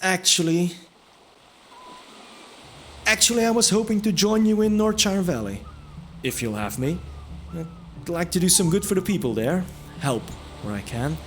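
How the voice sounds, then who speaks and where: A man's voice: high-pitched, unhurried, pauses often, a bit bright, faint music behind, pitched above the performer's own voice. A man voicing an earnest, playful young adventurer with an eager manner in a fantasy audio drama. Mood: hopeful, earnest.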